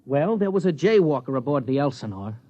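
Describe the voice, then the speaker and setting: An actor playing a devoted but stubborn rocket pilot husband in 1950s American radio drama style. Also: male voice, medium pitch, very animated, fairly quick, dark tone, natural voice. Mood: earnest, explanatory. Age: adult. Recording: muffled vintage 1950s radio recording.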